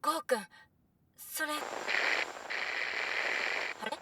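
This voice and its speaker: An actress playing an excitable, concerned female ally in a Japanese audio drama. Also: slow pace, recorded from a distance, slight background noise, poor audio. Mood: worried, exasperated.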